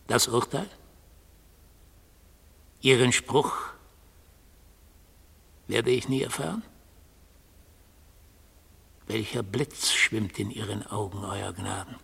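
A man's voice: on the low side, steady tone, takes it slowly, gravelly, long pauses, bright, forceful tone, slightly rough, exaggerated voice. An actor playing an eloquent, sardonic accused man in a classic radio play, declamatory and probing, in a reverberant hall. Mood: questioning.

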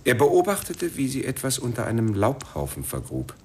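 A man playing a matter-of-fact police sergeant in a German radio crime drama, reporting formally and deliberately. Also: mid-range voice, wildly swooping pitch, darkish tone, a little hiss or hum. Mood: factual, grave. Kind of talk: radio play acting.

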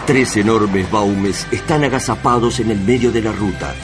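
A man's voice: on the low side, normal speed, music in the background, lightly acted. A man with an Argentine accent narrating a radio drama in a dramatic, scripted style.

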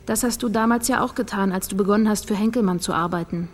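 A woman's voice: mid-range voice, quick pace, lightly acted. Crime radio play: actress as unyielding female detective, mid-range voice, terse, businesslike delivery. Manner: terse, matter-of-fact. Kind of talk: naturalistic radio crime drama acting.

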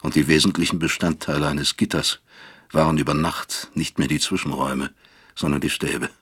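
An actor playing a remorseful, self-accusing father who narrates a radio drama in a gravelly, husky voice, reflective and bitter. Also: a bass voice, flat delivery, moderate pace, a bit bright, full character voice.

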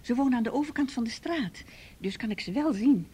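An actress as a timid, flustered, high-voiced lady. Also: lively intonation, fairly quick, mellow, a little hiss or hum, lightly acted. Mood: flustered, confiding. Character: timid, flustered, chatty unmarried neighbourhood lady. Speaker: actor in a radio play. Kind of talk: naturalistic Dutch radio play dialogue.

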